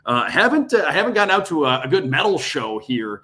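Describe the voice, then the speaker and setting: A man, a radio play-by-play announcer, chatting casually as a podcast guest in a relaxed, rambling way with many restarts. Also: fairly high pitch, brisk.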